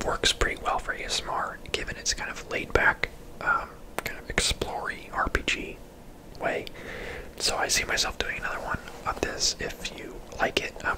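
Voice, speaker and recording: A person whispering casual, laid-back ASMR commentary over a video game, in a breathy, unvoiced voice. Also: bright, forceful tone, low-quality recording. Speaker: host, gamer.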